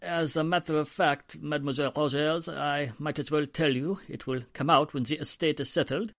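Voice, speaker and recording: A man's voice: on the high side, steady tone, normal speed, dry recording, studio quality, lightly acted. A man playing a polite, reluctantly confiding Frenchman from a café, with a French accent, in 1940s radio drama style. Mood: reluctant, confessing.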